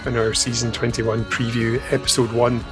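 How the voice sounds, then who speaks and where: A man with an ordinary mid-range voice hosts a podcast in a breezy, joking manner. Faint music sits quietly behind him. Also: medium pitch, level tone, moderate pace. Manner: upbeat, jokey. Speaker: podcast host. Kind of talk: casual podcast chat.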